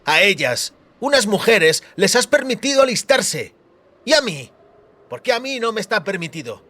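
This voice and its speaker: A man's voice: high voice, big pitch swings, moderate pace, pushed and bright, voice pushed up, exaggerated voice. A man voicing a passionate, indignant young scribe who protests and pleads.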